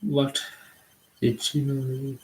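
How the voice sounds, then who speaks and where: A man's voice: medium pitch, level tone, low-quality recording. Hesitant Derry-accented young man streaming. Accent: Derry, Northern Irish. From Northern Ireland. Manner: halting, rambling, apologetic, full of restarts. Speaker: livestreamer. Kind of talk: casual live-stream chat with viewers.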